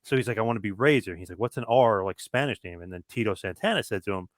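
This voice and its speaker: A man's voice: lowish voice, fast talker, dry recording, clean studio sound. A man co-hosting a podcast who tells a story in casual chat. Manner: storytelling, quoting others in asides. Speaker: podcast co-host.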